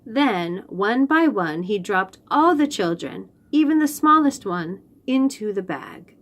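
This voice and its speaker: A woman reading a storybook aloud for young children, expressive and deliberate, stressing key phrases. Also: mid-range voice.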